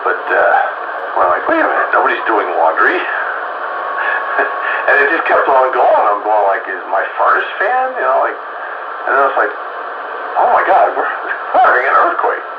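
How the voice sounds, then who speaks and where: A man's voice: mid-range voice, huge pitch swings, normal speed, pauses often. A man with an American accent comes through a CB radio under heavy noise, the sound narrow and lo-fi. He talks casually in folksy operator slang, like someone running the channel. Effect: CB radio transmission. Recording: very noisy, band-limited CB radio signal.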